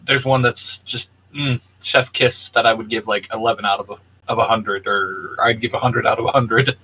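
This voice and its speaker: Guy with a bright forward voice, mid pitch, just chatting games as co-host. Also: breathy edge, low-quality recording. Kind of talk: casual gaming chat.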